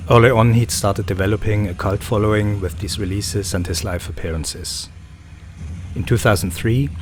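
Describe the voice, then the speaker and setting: A man reading a scripted introduction to an interview in a steady tone, then asking the first question. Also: sits low, slight background noise. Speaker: interviewer and narrator.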